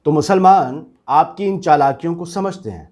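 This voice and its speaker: A man's voice: a little high, moderate pace, a little mellow, natural voice. A man acting as a reasonable office worker in a satirical drama, questioning and challenging in an earnest, sardonic way. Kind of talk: scripted satirical dialogue.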